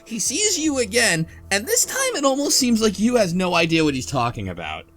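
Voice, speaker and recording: A man hosting a casual podcast discussion of a game story, talking in an animated, argumentative way. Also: sits high, brisk. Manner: animated, argumentative, quotes characters while explaining. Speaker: podcast host. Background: music behind the voice.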